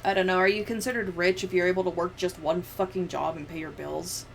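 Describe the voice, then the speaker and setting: A woman's voice: fairly low pitch, steady tone, brisk. A young woman chatting casually on a livestream in a sweary, sardonic manner. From United States. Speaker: livestreamer editing a video. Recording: clear background noise, room ambience.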